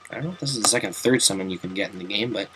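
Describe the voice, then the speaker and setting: A man's voice: lowish voice, rapid-fire, bright, forceful tone, breathy edge. A young man giving casual, chatty commentary while playing through a game, with self-mocking jokes and asides. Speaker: let's play commentator.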